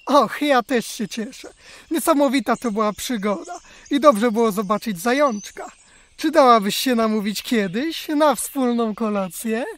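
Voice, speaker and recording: A woman's voice: fairly high pitch, animated, unhurried. A woman voicing a brave, gallant male hare who speaks in a courtly, theatrical way in a children's radio play.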